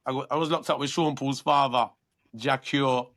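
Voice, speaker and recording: A man with a London accent, a guest artist talking earnestly through his career in a relaxed interview. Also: medium pitch, moderate pace. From London, England. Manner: earnest, reflective, many restarts.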